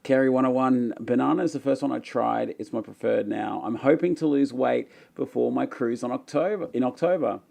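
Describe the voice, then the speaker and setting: Casting: young Aussie bloke, raspy tired voice with gravel, dark tone, self-mocking live-stream host. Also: male voice, mid-range voice, brisk, few pauses. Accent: Australian. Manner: self-deprecating, joking, rambling with many fillers. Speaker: livestream host answering chat questions. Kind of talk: casual live Q&A chat.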